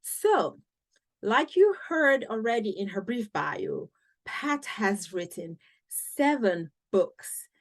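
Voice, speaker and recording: A woman from the United States hosting a podcast, reading a guest introduction and interviewing in a deliberate, clearly spoken way. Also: medium pitch, sing-song. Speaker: podcast host.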